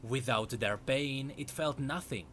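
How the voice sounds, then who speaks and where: A man's voice: mid-range voice, measured pace, a bit bright, a little hiss or hum. A man reading scripted fantasy lore narration in a dramatic storytelling way. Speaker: narrator of a game lore video.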